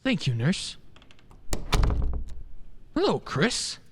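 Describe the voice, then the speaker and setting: A woman's voice: mid-range voice, wildly swooping pitch, drawn-out words, noisy background, heavily acted. A woman acting an earnest, well-meaning male lawyer and loyal friend in a radio play. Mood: concerned, pleading. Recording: echoey hall.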